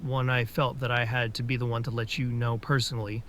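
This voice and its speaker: A man's voice: medium pitch, flat delivery, fairly quick, a bit bright, a little hiss or hum, close to an everyday voice. A man playing an earnest military officer. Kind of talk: fan audio drama acting.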